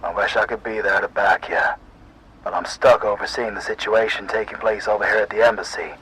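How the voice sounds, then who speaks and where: A man playing a stern, stressed police commander giving urgent orders over a radio in an audio roleplay, with a rough, husky voice. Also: lowish voice, steady tone, brisk, bright, forceful tone, exaggerated voice, rough recording. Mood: exasperated.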